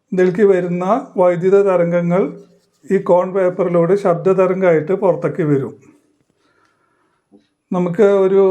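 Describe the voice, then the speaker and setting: High-pitched, monotone man teaching. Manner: halting, explains in short phrases. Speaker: electronics tutorial presenter. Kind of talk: hands-on demonstration, explanatory teaching.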